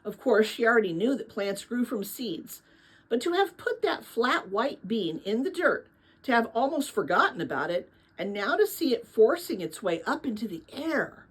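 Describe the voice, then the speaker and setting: A woman narrates a storybook as a read-aloud. Her voice sits fairly high with a slightly husky texture. The delivery is expressive and varied in pitch, with a casual touch. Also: moderate pace, rough recording.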